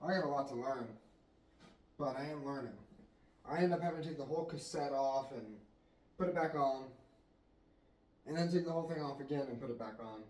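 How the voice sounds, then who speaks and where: A man's voice: medium pitch, a little fast, long pauses, a little mellow, low-quality recording. A young man vlogging his workouts, chatty and self-mocking, talking straight to viewers. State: congested, clearing throat. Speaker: fitness vlogger. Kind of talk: casual vlog narration.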